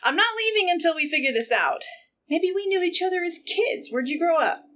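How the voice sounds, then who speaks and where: A woman's voice: extremely high pitch, animated, brisk, fairly bright tone. A woman acting a blunt, playful, quirky city woman in a romantic comedy audio play. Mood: playful, exasperated. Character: Destiny, brash, quirky, wry single city woman.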